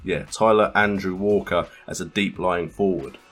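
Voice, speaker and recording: A man with a fairly low voice hosts a gaming video. He gives casual, explanatory let's play commentary, with quiet music underneath. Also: fairly even intonation, normal speed. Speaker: gaming channel host.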